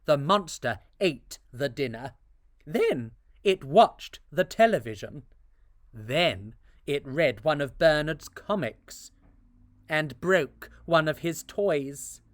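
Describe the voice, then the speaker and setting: A male storyteller reads a children's picture book in an unhurried, animated way, his pitch on the high side for a man. The sound is very dry and close. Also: dry recording.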